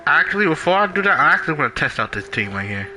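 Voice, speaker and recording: Man, game streamer, sharp forward voice, lively pitch swings, casual chat, faint noise. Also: racing. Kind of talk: casual livestream commentary.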